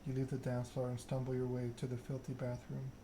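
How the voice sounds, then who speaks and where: A man reading a story aloud in an ASMR narration style, measured and unhurried. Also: mid-range voice, completely flat delivery. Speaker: video game streamer reading game text aloud. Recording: noticeable background noise.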